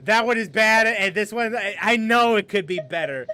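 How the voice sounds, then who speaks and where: A man's voice: sits high, normal speed, pushed and bright, clean tone. A man streaming a video game, chatting casually with viewers and rambling with frequent laughs. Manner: rambling, laughs often, restarts sentences. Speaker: gaming streamer. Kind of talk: casual live-stream commentary.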